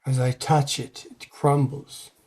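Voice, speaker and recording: A man acting as a grave clergyman in an old-time radio horror play. He speaks slowly and solemnly, full of fearful, melodramatic warning. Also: mid-range voice, level tone, a little mellow. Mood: dread.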